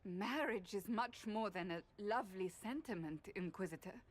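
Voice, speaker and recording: Game role: loyal woman warrior, played by an actress, medium pitch, earnest, formal, blunt and solemn. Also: lightly acted. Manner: earnest, formal, deliberate phrasing. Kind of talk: video game cutscene acting.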